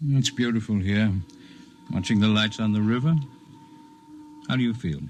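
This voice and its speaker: A man in a 1950s radio drama playing a calm, gentle visitor from another star, speaking in formal, measured phrases. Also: lowish voice, faint music behind, close to an everyday voice. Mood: wistful, gentle.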